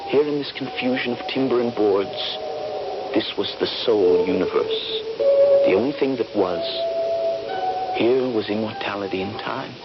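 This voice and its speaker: An actor playing a trapped, wounded Union soldier, frightened and bitter, with intense, deliberate dramatic phrasing in a radio drama. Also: male voice, lowish voice, loud music behind the voice, lightly acted. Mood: haunted narration. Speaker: actor narrating and playing the lead. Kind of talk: radio drama acting with first-person narration. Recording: dull, noisy old radio broadcast.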